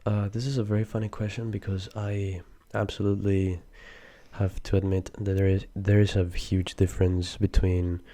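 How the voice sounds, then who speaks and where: Soft-spoken deep Peruvian man, gravelly. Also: little pitch movement, moderate pace. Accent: Peruvian Spanish, mixed with Mexican and Argentinian. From Peru. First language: Spanish. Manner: soft-spoken, hesitant with many fillers. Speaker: interview guest. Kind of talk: soft-spoken casual interview.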